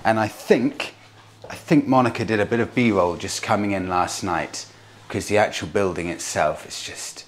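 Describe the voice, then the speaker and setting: A man's voice: on the low side, normal speed. A man in his thirties giving a chatty, enthusiastic tour of a small hotel room, recorded on a lapel mic. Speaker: travel vlogger. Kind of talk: casual travel vlog narration.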